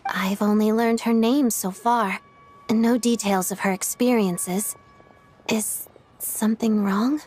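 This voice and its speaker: A woman's voice: mid-range voice, fairly even intonation, slow pace, a little mellow, breathy edge. An actress playing an earnest, reserved young woman in a video game, with a courteous, formal and tentative manner. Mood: politely curious. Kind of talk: video game cutscene acting. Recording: background music under the voice.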